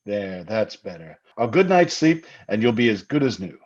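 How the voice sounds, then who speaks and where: Man as solicitous husband, lively and slightly muffled. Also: fairly low pitch, normal speed. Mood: soothing, probing. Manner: soothing, patronizing, insistent. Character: smooth, solicitous husband with quiet menace. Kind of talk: old-time radio suspense acting. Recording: slightly dull, lo-fi sound.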